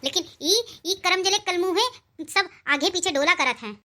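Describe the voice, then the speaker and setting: A performer voicing a scolding, sharp-tongued village sister-in-law in a comic cartoon, with a rural Uttar Pradesh accent. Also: very high voice, moderate pace, heavily acted. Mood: exasperated scolding.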